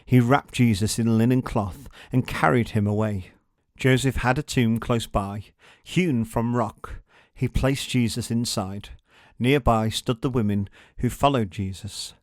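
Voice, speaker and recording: A man's voice: mid-range voice, no echo. Male storyteller in a dry recording. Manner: dramatic, measured storytelling. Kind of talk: scripted read-along narration for children.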